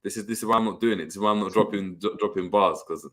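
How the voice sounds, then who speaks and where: Laid-back young man with a deep voice. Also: racing, a bit bright. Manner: laid-back, slangy, stumbles and repeats words. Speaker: podcast host. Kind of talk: casual football chat, reading comments.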